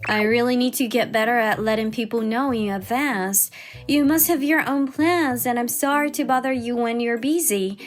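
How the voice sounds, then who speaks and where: A woman's voice: a little high, normal speed, close to an everyday voice. A woman playing a kindly, chatty older mother-in-law, polite and effusive, in a manga dub drama. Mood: apologetic. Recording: music behind the voice.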